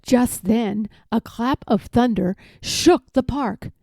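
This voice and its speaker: A woman reading a children's storybook aloud with expressive narration. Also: a little high, slow, vocal fry, no room sound.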